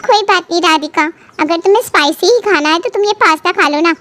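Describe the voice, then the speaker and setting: Patient, high-voiced young daughter-in-law played by a woman. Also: brisk, a bit bright. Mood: placating. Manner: patient, explaining. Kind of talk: animated family story dialogue.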